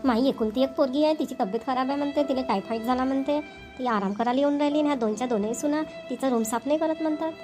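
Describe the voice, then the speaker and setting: A woman's voice: sits high, fast, over music, lightly acted. A woman voicing a doting, grumbling older mother-in-law in a family cartoon, with a folksy Vidarbha Marathi accent. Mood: aggrieved grumbling. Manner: complaining, folksy, repetitive. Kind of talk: family comedy cartoon dialogue.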